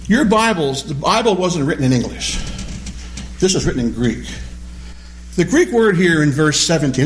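A man teaching a Bible study class, animated and questioning, repeating key words as he explains them. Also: on the high side, a little hiss or hum. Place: classroom or church hall with a small group.

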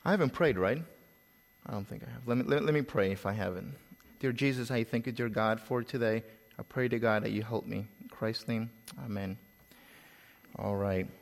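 A man from El Salvador with a slight Salvadoran Spanish accent, preaching a sermon in a relaxed storytelling way, with pauses and repeated words. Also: on the low side, creaky, big-room sound.

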